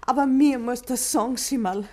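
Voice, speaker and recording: Woman acting Bavarian village wife, soft dark tone, fairly high voice, anxious, searching, insistent, 1950s radio drama. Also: moderate pace, lightly acted. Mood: urgent pleading.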